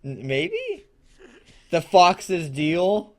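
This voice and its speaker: Male co-host, lightly high pitch, highly expressive delivery, crude mocking banter over amateur fiction. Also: drawn-out words. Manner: crude, animated, giggly joking. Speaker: co-host of a fan fiction reading show. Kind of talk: casual banter and mocking read-aloud.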